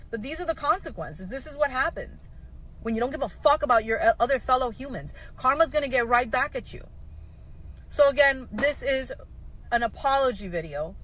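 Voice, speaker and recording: A woman's voice: a little high, a bit bright, poor audio. A woman from South Florida, speaking candidly to viewers in a blunt, emphatic, sweary monologue. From South Florida, USA. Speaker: social media video maker. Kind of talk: candid personal video monologue.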